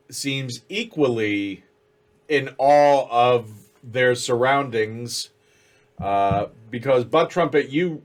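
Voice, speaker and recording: Man, dungeon master, slow and measured, slight cold. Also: medium pitch. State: has a cold. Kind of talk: narrating a tabletop game.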